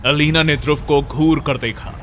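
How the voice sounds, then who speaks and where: Higher-pitched male horror narrator over music. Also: steady tone, normal speed. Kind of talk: scripted horror story narration.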